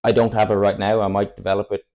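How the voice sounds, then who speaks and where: A man's voice: fairly low pitch, little pitch movement, fast, a little mellow, highs cut off. A man in his twenties with an Irish accent, a native Irish speaker, chatting candidly as a footballer guest on a podcast, rambling and chuckling often. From Ireland, Cork. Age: 20s. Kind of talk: casual podcast chat.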